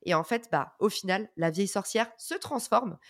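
A French-speaking woman giving energetic, informal advice in an interview, with many fillers and slang. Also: mid-range voice, moderate pace, no echo, clean studio sound. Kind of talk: casual motivational advice.

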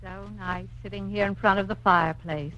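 A woman's voice: medium pitch, steady tone, normal speed, bright, forceful tone, faint music behind, close to an everyday voice. A woman playing a playful, scheming wife in theatrical, chatty 1950s radio comedy style. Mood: coy, wistful. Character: scheming playful wife, posing as brash lowbrow nightclub singer. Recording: muffled noisy 1950s radio broadcast.

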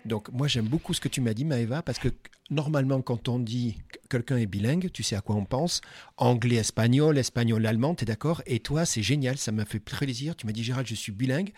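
A man's voice: mid-range voice, fairly quick, a little mellow, husky, dry recording. A middle-aged man from Dordogne interviewing a guest at a job fair, chatty and enthusiastic, with many restarts. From Dordogne, France. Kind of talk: casual event interview. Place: quiet corner at a busy job fair.

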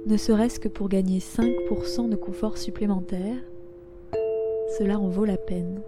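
Woman guiding meditation over loud music. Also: medium pitch, steady tone. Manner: soothing, gentle, unhurried instructions with long pauses. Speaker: yoga nidra meditation guide. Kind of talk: guided relaxation meditation. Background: loud instrumental relaxation music.

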